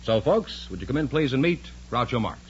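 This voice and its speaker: An American man announces in a formal 1950s radio style. His pitch is medium, and the old recording is muffled with a little noise behind him. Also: expressive, brisk. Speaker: show announcer.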